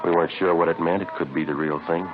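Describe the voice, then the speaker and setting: A terse, deadpan homicide detective, played by a man, speaks very low and quickly. He is matter-of-fact in vintage radio crime-drama style, on a muffled recording. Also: music in the background, lightly acted. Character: terse, deadpan homicide police detective narrating his case. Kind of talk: 1950s radio drama narration.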